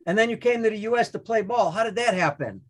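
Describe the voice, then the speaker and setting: A man's voice: high-pitched, expressive, fast talker. A man hosting a podcast, chatting upbeat and rambling, often restarting his sentences. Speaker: podcast host. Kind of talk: casual chat.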